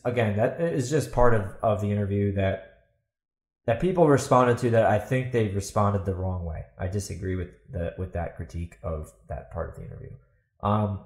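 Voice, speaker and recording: Blunt, emphatic man in an echoey room. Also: mid-range voice. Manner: emphatic, blunt, swears, asks and answers own questions. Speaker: podcast host and fantasy author. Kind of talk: opinionated solo podcast monologue. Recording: roomy, echoey voice recording.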